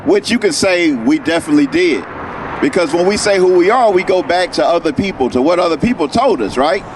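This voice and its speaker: Brief: male street preacher, high voice, keeps asking "right?", loud traffic noise. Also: expressive, brisk. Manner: questioning, tags lines with "right?". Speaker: street teacher. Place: outdoors on a city street.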